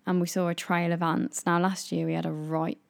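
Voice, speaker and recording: A woman's voice: on the low side, level tone, a little fast, gravelly. A young British woman in casual chat as a podcast co-host, chatty and playful, laughing often.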